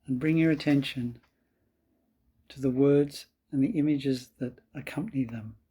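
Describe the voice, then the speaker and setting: A man with a slightly husky voice guiding a meditation in calm, measured, deliberate phrases. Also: mid-range voice. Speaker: meditation teacher. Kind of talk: slow guided meditation.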